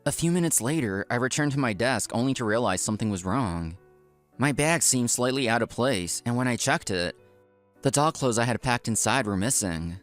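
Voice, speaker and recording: A man's voice: medium pitch, expressive, normal speed, faint music behind, natural voice. A man playing an earnest, polite office worker who narrates his own story in a comic dub. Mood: narrating. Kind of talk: scripted first-person narration, comic dub.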